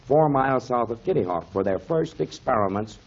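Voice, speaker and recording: An actor playing a kindly, avuncular mentor explaining things to children in emphatic 1930s radio style, on a muffled old recording. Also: male voice, mid-range voice, animated, brisk, slightly rough. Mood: earnest storytelling. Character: kindly grown man, storytelling mentor to children, pipe smoker.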